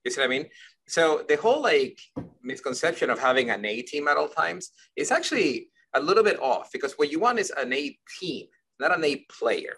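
A man's voice: a little high, lively intonation, a little fast, a bit bright, slightly rough. A man from Ecuador, a guest in an interview, telling stories at length with many restarts and checks like "you see what I mean?". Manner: rambling, repeats words, asks "you see what I mean?". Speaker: guest, company leader. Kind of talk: conversational interview answers.

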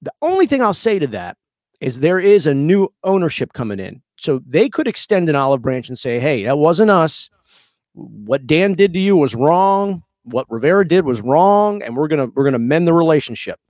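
A man's voice: on the high side, very animated, normal speed, darkish tone, no echo. An American man co-hosting a sports podcast in casual banter, blunt, slangy and opinionated.

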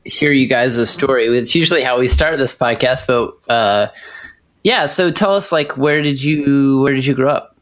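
A man hosting a podcast, asking guests relaxed, friendly questions. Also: mid-range voice, moderate pace. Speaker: podcast host. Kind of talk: casual podcast interview.

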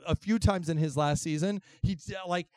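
A young man with a voice gone hoarse, co-hosting a live panel show and rambling playfully with lots of fillers. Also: on the high side, normal speed, dark tone, no echo. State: hoarse from losing his voice. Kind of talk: casual comedic banter with a live audience.

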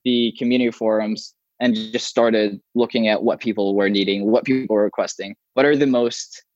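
A man's voice: lowish voice, steady tone, moderate pace, a little mellow, muffled recording. Man with Arabic as his first language, rambling. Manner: rambling, frequent restarts and fillers. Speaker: software developer guest. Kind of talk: casual interview conversation.

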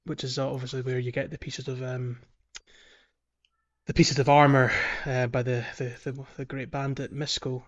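A man's voice: medium pitch, level tone, moderate pace. A man hosting a casual video game playthrough podcast, explaining things in a hesitant, rambling way. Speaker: podcast host.

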